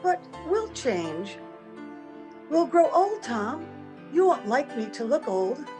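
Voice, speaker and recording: A high, girlish young bride acted by a woman in a vintage-style radio play. She sounds romantic and naive, with happiness edged by worry. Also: sing-song, slow, over music, exaggerated voice. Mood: tender, fearful. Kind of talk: old-time radio drama acting.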